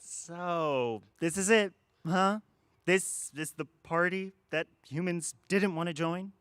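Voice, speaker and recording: A woman's voice: lowish voice, takes it slowly, clear, resonant tone, dry recording. A woman acting a bewildered, indignant human who has just died in a radio drama, stammering in disbelief. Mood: uneasy, tentative.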